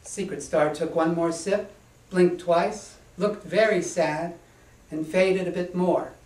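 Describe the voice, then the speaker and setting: A man's voice: high voice, steady tone, darkish tone. Man storytelling in an echoey room. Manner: deliberate, whimsical, with rhythmic repetition. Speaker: author reading own story. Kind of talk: children's storytelling to a live audience. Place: small indoor stage before an audience. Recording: echoey room, distant microphone.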